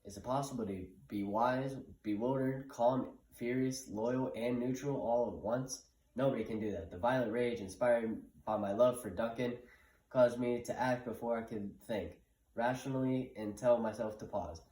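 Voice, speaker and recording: Man, puppet show performer, dramatic play reading, mid-pitched, roomy echo. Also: moderate pace, mellow. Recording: roomy, echoing room sound.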